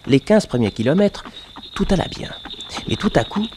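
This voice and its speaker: An actor playing a detective's assistant, a composed storyteller narrating a radio adventure serial. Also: male voice, on the high side, big pitch swings, moderate pace, noisy background. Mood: measured, suspenseful.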